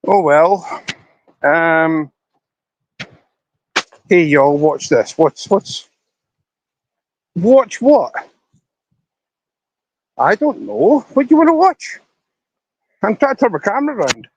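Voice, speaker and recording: A man's voice: high voice, very animated, takes it slowly, long pauses, darkish tone, rough recording. A Scottish man chatting to viewers on a casual live stream outdoors by a river, rambling as he talks into his phone. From Scotland. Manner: rambling, chatty, talking to viewers while walking.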